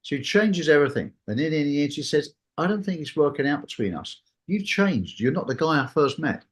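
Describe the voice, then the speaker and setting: A man talks casually in a discussion, his pitch swinging widely as he goes. He rambles through anecdotes and slips into reported dialogue. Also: big pitch swings, fairly quick.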